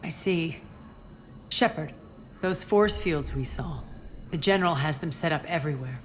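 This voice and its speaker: A woman's voice: low voice, normal speed, a little hiss or hum, natural voice. An actress playing a ruthless female crime boss in a sci-fi game, with a cool, commanding, sardonic manner. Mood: brisk, controlled. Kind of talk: cinematic video game acting. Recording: long reverb, music behind.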